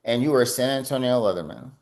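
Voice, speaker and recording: Man, podcast host, loose chatty delivery, lots of fillers, mid-pitched voice. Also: fast talker, creaky, a little mellow. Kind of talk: casual interview.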